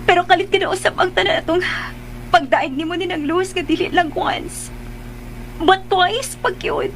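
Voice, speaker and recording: Very high-voiced anxious sweetheart. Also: female voice, normal speed, a little hiss or hum. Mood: earnest confessing. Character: anxious, pleading young sweetheart confessing to her love. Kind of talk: Filipino radio drama acting.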